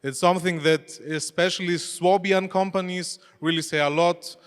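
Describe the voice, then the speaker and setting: A man's voice: sits high, fairly even intonation, normal speed, smooth. Greek-native man presenting in a hall. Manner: chatty, questions the audience, non-native phrasing. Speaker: conference speaker, head of e-commerce. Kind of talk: conference presentation. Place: expo hall stage. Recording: long reverb of a large hall.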